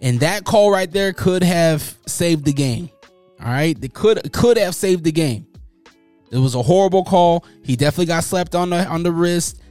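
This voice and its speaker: A man's voice: a little high, normal speed, dry recording. Brief: man, casual commentary host, slangy and joking, faint music underneath. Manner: animated, joking, slangy, mocking voices in quotes.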